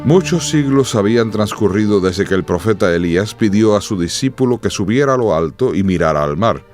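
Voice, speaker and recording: A man giving solemn, measured, dramatic scripted narration for a radio drama. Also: on the low side, lively intonation, a little mellow, faint music behind.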